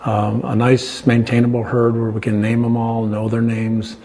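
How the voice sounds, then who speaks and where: A man's voice: mid-range voice, monotone, moderate pace, mellow. Older American man explaining, noisy room. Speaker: farm owner and cheese maker. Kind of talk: informal explanation while demonstrating. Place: indoors in a small farm creamery. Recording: clear background noise, working creamery room.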